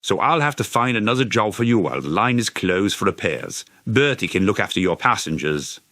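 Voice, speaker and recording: A stern but fair cartoon railway controller with formal authority, acted by a man. The voice is forceful and expressive. It is closely and dryly recorded. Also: lowish voice, brisk, no echo, clean studio sound. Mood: calm, firm. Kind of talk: animated character dub.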